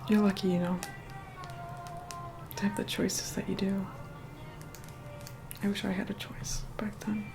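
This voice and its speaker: Girl playing a snooty vampire woman doing asmr, slow breathy whisper w/ vocal fry, dry and reluctant, music behind her. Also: flat delivery. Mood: wistful, guarded. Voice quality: soft, breathy whispering mixed with low murmur. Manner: unhurried, dry, mildly condescending. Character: aloof young vampire woman, vain, reluctant beauty helper. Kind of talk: ASMR roleplay, soft whispered personal attention.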